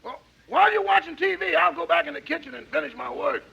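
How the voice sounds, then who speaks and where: High, gravelly, wry valet. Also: male voice, lively intonation, fast, bright, forceful tone, full character voice. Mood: dutiful, wry. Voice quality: raspy, gravelly. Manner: sly, respectful comeback delivery. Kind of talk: 1950s radio comedy acting. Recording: muffled, slightly noisy 1950s radio recording.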